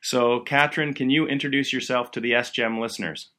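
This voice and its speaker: A man hosts a medical education podcast with an upbeat, enthusiastic delivery. His voice is bright and forceful, at a middle pitch. He talks into a home podcast microphone. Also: steady tone, brisk. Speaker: podcast host.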